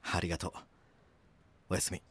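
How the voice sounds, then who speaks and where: An actor playing a stern but caring police detective who narrates an audio drama in a serious, measured way. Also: male voice, no echo, natural voice. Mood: tired, tender. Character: stern male police detective narrating, gruffly tender husband. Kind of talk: scripted documentary-drama narration and acting.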